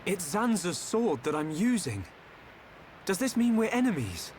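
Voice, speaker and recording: A man's voice: high voice, big pitch swings, measured pace, a little mellow, slight background noise. A man playing an earnest, troubled young video game hero with an urgent, questioning way of talking. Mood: agitated. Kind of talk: scripted video game cutscene acting.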